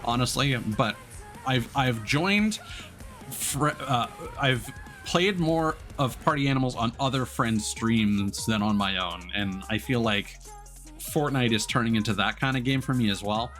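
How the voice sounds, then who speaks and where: A man gives relaxed live commentary as he plays a video game. His voice sits at an ordinary male pitch, and he explains things informally with the odd mild swear. Game music plays clearly behind him. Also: unhurried. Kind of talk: casual live gaming commentary.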